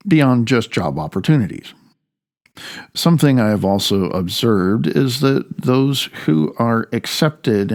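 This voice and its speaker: Middle-aged guy narrating his own audiobook, gravelly creaky voice, measured and deliberate, stretches words for emphasis. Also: medium pitch, darkish tone. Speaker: author reading own audiobook.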